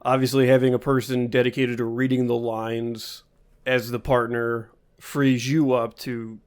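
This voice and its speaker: A man with a mid-range voice who talks slowly and deliberately. He chimes in on a casual podcast chat with brief, dry remarks. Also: mid-range voice, flat delivery, clean tone. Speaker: podcast co-host.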